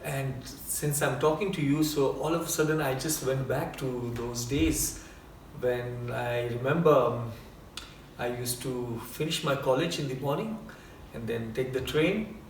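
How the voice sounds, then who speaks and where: A middle-aged man with an Indian accent talks at a slow, unhurried pace, often pausing on fillers as he tells his story. He sits some distance from the mic, so the voice carries the echo of the room. A faint hiss stays under the whole recording. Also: medium pitch, steady tone. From India (Bombay). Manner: unhurried, with frequent uh fillers and restarts. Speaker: choreographer and dancer guest. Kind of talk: relaxed personal storytelling.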